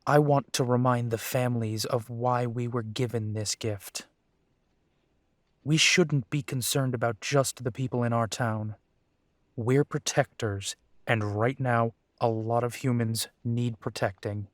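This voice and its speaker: A man's voice: medium pitch, fairly even intonation, unhurried, dry recording, studio quality, natural voice. A man playing a young, protective and determined werewolf in an audio roleplay, speaking earnestly. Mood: serious, determined.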